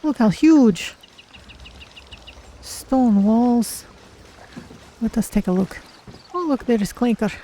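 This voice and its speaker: A streamer voicing an earnest, curious female cat-folk adventurer in a fantasy game, with measured, formal phrasing. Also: mid-range voice, lively intonation, warm tone. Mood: wondering. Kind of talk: in-character game roleplay narration.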